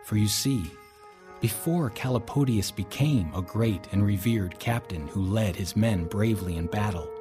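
An actor playing a loyal Roman soldier, a captain's devoted aide, earnest and dramatic in an audio drama. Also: male voice, on the low side, moderate pace, dark tone, natural voice. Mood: solemn. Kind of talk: full-cast audio drama acting and narration. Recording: music and noise behind drama.

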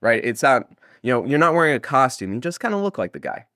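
A man's voice: medium pitch, big pitch swings, quick pace, fairly bright tone, no room sound, clean studio sound. A man being interviewed as an audio drama creator, chatting casually in a rambling, jokey way that often interrupts itself. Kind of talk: casual, animated interview chat.

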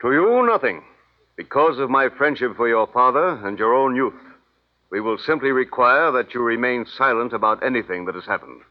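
A man's voice: medium pitch, lively intonation, normal speed, lightly acted. A man playing a stern, coldly formal military colonel in a 1950s radio drama, speaking in commanding, clipped phrases. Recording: muffled vintage radio recording.

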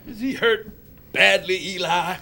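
A man playing an eager young male jockey who talks urgently and rises to shouts. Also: sits high, a little slow, creaky, a little hiss or hum, full character voice. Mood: resentful.

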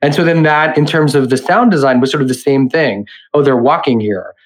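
Male director guest, medium pitch, informal, conversational, loosely pieced-together explanations. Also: fairly quick. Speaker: director and audio editor, interview guest.

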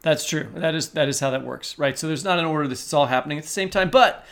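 A man co-hosting a podcast in a fairly high voice with a marked vocal fry. He talks in a casual, chatty way, with a bantering, teasing streak. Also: quick pace, a bit bright. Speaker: podcast co-host and novelist.

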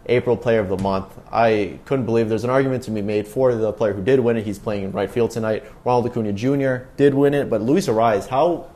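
A man's voice: mid-range voice, brisk, few pauses, mellow. A man hosting a baseball podcast, asking questions and commenting in a casual interview style. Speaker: podcast host, baseball writer.

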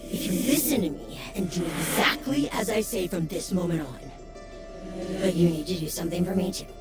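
A woman's voice: lowish voice, normal speed, natural voice. A woman acting in an audio drama as a secretive, controlling confidante who coaxes and instructs. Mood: coaxing, controlling. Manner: coaxing, instructing. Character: secretive protective female confidante, controlling and persuasive. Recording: loud music underneath. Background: loud music.

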